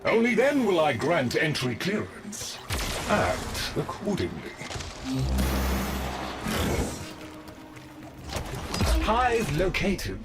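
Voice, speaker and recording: Prim robotic servant, swooping pitch. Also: male voice, exaggerated voice. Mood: officious, commanding. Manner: formal, officious, grave. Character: prim, dutiful robotic servant guarding a ruined vault. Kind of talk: video game character acting. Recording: loud music behind the voice.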